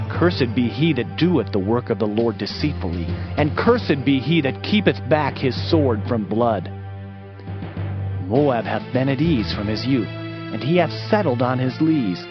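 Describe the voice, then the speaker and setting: A man reading scripture as a dramatized audiobook in a solemn, declamatory manner. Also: mid-range voice. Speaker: audiobook narrator. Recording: dull lo-fi audio under music. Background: music.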